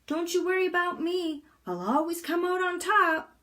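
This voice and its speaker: A woman's voice: very high-pitched, normal speed, a bit bright, pitched above the performer's own voice. A woman voicing a bold, cheerful, self-assured young girl from a children's storybook. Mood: breezy, confident.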